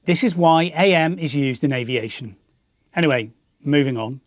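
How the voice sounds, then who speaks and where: A man's voice: fairly high pitch, normal speed, no echo, clean studio sound. A man with a British accent presenting a scripted explanatory piece as the host of a hobby channel. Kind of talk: scripted explanatory narration to viewers.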